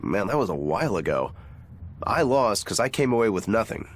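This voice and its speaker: A man's voice: on the low side, big pitch swings, fairly quick. A man playing a laid-back but stubborn character in an anime-style video game.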